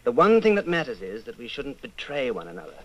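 An actor playing an earnest, fatalistic secret rebel in an old-time radio drama. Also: male voice, mid-range voice, wildly swooping pitch, a little fast, lo-fi sound. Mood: intense, fatalistic.

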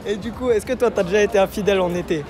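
A man's voice: high voice, very fast. A man, interviewing casually in slang and teasingly probing answers. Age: 20s. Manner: teasing, echoes and probes answers. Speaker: street interviewer. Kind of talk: casual vox-pop questioning in slang. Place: busy city square, outdoors. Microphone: handheld mic at arm's length. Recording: busy outdoor street with crowd.

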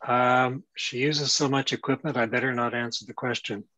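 A man presents images in a casual online meeting. His voice is bright and forward, with a mid-range pitch, and it sounds quite dry through a video call microphone. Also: fairly even intonation, moderate pace, dry recording, poor audio. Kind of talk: casual commentary on shared images.

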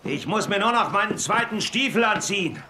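A man acting a seasoned, fatherly sheriff in a children's Wild West radio play, measured and reassuring. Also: sits high, lively intonation, noisy background. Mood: hurried, cheerful.

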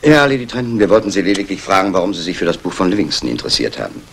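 A sardonic police inspector with a low male voice. His intonation swings widely as he probes, dry and wry, in the style of a vintage radio crime play. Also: animated, rapid-fire, breathy edge, full character voice. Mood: probing. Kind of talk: 1960s German radio drama acting.

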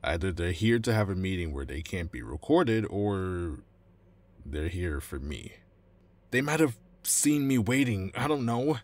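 A man acting a cornered, anxious informant in an audio roleplay, pleading and explaining tactics urgently in a husky voice. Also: low voice, big pitch swings, measured pace, heavily acted. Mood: tense, wary. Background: music.

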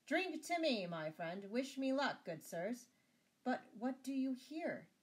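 A woman voicing a greedy, gloating medieval abbot who is quick to rage, in a storybook style. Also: high-pitched, big pitch swings, pitched above the performer's own voice. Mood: exclaiming, relieved. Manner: chuckling, gloating, blustering. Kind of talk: storybook character voice.

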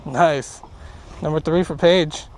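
A young man casually commenting while fishing from a small boat on a lake, easygoing and upbeat, getting excited over each catch. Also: fairly high pitch, takes it slowly. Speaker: fishing vlogger. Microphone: action camera on the boat.

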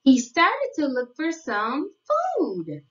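A woman narrating a read-along storybook for young children in a deliberate, sing-song way. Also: high-pitched, sing-song, fairly bright tone, far from the mic, dull audio.

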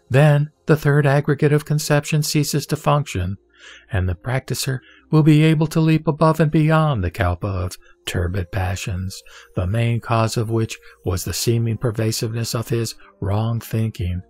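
A man reading a Buddhist scripture aloud in a measured, steady recitation. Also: fairly low pitch. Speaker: scripture reader. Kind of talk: solemn scripture reading over music. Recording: soft background music.

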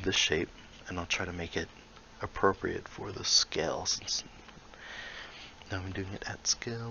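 A man's voice: low-pitched, bright, forceful tone, muffled recording, a little hiss or hum. A man casually explaining how he builds in a VR game, thinking aloud and muttering small asides as he works. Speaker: VR building tutorial creator. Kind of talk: casual tutorial while building in VR.

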